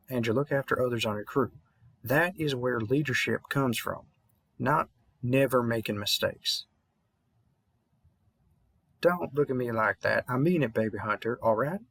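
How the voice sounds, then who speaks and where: A big, seasoned vampire hunter, played by a man, mentors in an audio roleplay with a Southern accent. His medium-pitched voice rambles informally with a slight mumble, kindly and encouraging. The sound is dry and intimate, with very little room on it. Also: fairly bright tone, slightly rough, no echo.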